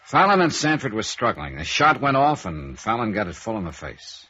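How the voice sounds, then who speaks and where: A man's voice: on the low side, sing-song, moderate pace, bright, forceful tone, slightly rough, heavily acted. An American man acting a tough, probing private investigator in a 1950s radio noir, narrating and questioning in a clipped, sardonic way. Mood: brisk, intrigued. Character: tough, probing male freelance insurance investigator who narrates. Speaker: lead actor in a radio detective series. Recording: dull, noisy old radio recording.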